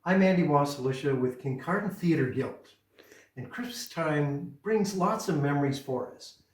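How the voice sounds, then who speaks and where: An older man giving an expressive dramatic reading of a Christmas poem, like a grandfather telling a story to children. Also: fairly high pitch, moderate pace. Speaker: amateur theatre member, storyteller. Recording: roomy, distant mic, faint noise.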